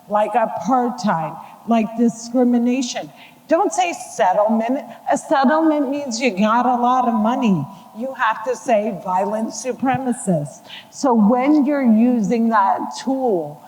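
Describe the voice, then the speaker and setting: A woman speaking on a town hall panel in a measured, emphatic way. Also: fairly high pitch, darkish tone. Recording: hall reverb.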